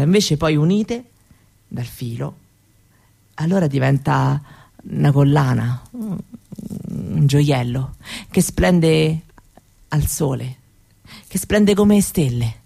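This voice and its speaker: An actress playing a dreamy young Roma woman recalling her childhood in an intimate, halting radio monologue. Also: deep voice, animated, vocal fry, husky, heavily acted. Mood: wistful, wondering. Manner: halting, wistful, with soft repetitions.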